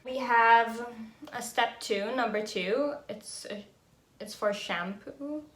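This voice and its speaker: Slow, giggly woman vlogging in an echoey room. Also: a little high, a bit bright. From Philippines. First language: Tagalog and English. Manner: chatty, giggly, thinking aloud. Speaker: beauty vlogger. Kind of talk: casual vlog narration.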